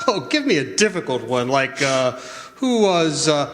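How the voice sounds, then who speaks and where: A man playing a kindly old man who believes he is Santa, gently needling and unhurried, in a radio drama. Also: fairly high pitch, sing-song. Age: older. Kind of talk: stage radio drama acting. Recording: live hall reverb, faint noise.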